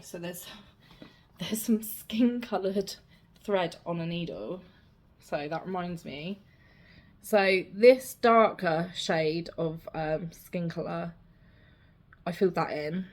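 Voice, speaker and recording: A young woman talks in a relaxed, rambling vlog manner. Her voice carries a slight rasp. She sounds self-deprecating and easygoing. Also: on the low side. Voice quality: slightly raspy. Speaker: cross-stitch vlogger. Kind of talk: casual show-and-tell chat.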